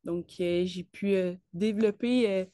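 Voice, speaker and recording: A teenage woman, about nineteen, with a Quebec French accent and a medium-pitched voice. She chats in an enthusiastic, colloquial way as an interview guest, recorded with very little room sound. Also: a little slow, mellow, smooth, dry recording. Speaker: interview guest, network marketer. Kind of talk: casual chat.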